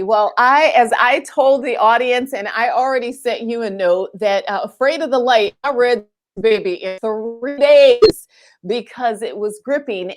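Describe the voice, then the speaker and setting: A woman hosting a casual live online chat, informal and chatty, addressing her viewers directly as she goes. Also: on the high side.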